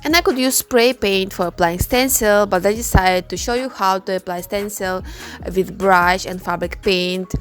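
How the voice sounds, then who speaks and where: A woman from Ukraine, a clothing customization creator, speaking slowly in a halting and emotional way in a personal vlog voice-over. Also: medium pitch, steady tone, faint music behind.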